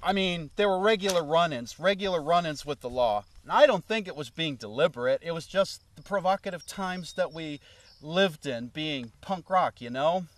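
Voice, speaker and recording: A man with a slightly high voice spins a loose, rambling tale, dropping "you know" asides as he goes. He sounds a little off the mic, as if the recorder sits some way across the room. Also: expressive, normal speed, distant mic. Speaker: former road manager recalling the past. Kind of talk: casual anecdotal storytelling.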